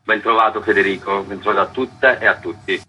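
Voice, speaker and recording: A man explaining things in measured, formal phrases as a festival director in a radio interview. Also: fairly low pitch, steady tone, pushed and bright, a little hiss or hum, rough recording.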